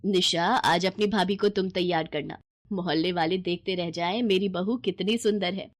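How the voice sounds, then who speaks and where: A woman voicing a caring, affectionate middle-aged mother-in-law who gently organizes her household in a storybook tale. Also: fairly low pitch, steady tone, normal speed, close to an everyday voice. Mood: tender. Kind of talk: acted storybook dialogue.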